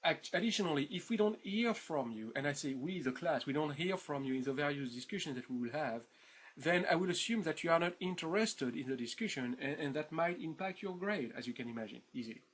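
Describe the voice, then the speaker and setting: A man's voice: a little high, lively intonation, a little fast, a bit bright, slightly rough. A man with a French accent giving a recorded introduction to an online course. His talk is informal and playful, full of fillers, repeats, and "right?" after his points. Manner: chatty, informal, many fillers and repeated words; keeps asking "right?" for agreement.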